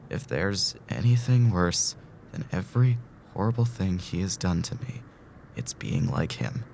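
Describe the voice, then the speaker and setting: A man plays an anguished, principled hero of an audio drama. His voice sits low, weary and earnest, heavy with emotion. Some noise runs behind the recording. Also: creaky, full character voice. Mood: quiet despair. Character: anguished, principled man trapped in time loop.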